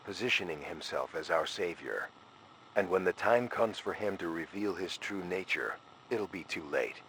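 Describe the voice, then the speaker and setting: A man's voice: sits low, a little hiss or hum, poor audio. A man playing a frightened scholar who leaves a grave, ominous final warning in a scripted analog horror recording. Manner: grave, ominous, urgent, deliberate phrasing.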